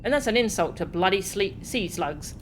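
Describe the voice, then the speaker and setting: A woman's voice: mid-range voice, animated, normal speed, slight background noise, close to an everyday voice, rough recording. A woman voicing a bitter, self-pitying male craftsman in a video game, grumbling in crude colloquial speech. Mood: bitter, despairing. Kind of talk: reading video game character dialogue. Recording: game music behind the voice.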